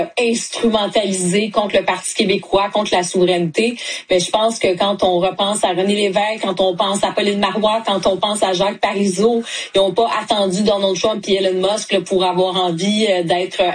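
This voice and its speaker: Husky Quebec French female commentator. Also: medium pitch, steady tone, moderate pace. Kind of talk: opinionated political commentary.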